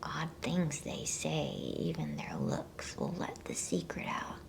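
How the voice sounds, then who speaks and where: A woman whispering softly in a soothing, unhurried way, as an ASMR host reading bedtime stories and chatting to listeners. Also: low voice, big pitch swings. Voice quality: breathy whisper.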